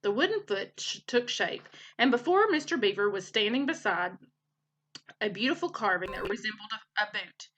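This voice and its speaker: A woman reading a children's story aloud as a teacher would, in an expressive storytelling style. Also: medium pitch, moderate pace. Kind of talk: animated children's storybook narration.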